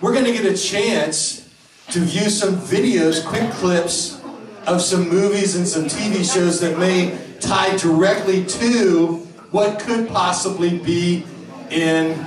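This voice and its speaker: A man with a high voice slowly announces to a gathering. He is distant and echoey, with a loud crowd around him. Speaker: event announcer.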